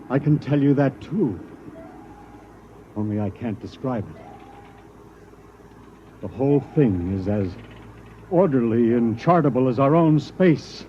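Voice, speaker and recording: An actor playing a stubborn, visionary blinded space lieutenant in a 1950s radio drama. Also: male voice, medium pitch, mellow, lightly acted. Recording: muffled old radio broadcast, faint noise.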